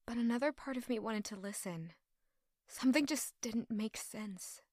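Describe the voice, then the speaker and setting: A woman playing a conflicted, loyal young woman in love in an audio drama, with emotional, deliberate delivery. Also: fairly high pitch, darkish tone, dry recording, studio quality, close to an everyday voice. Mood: pensive, troubled. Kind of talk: dramatic audio drama acting with first-person narration.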